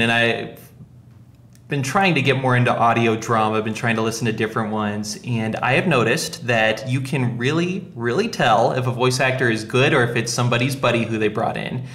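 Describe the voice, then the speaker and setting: A man's voice: medium pitch, a bit bright. A man hosting a podcast in a casual, chatty style with a wry manner. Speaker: podcast host. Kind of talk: casual chat. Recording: roomy echo.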